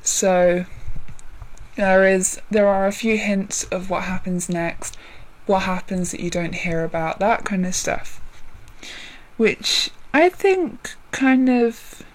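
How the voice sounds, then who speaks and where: A woman vlogger giving a casual chatty update, deliberately speaking in a low voice, rambling with many ums and apologies. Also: expressive. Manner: deliberately lowered voice.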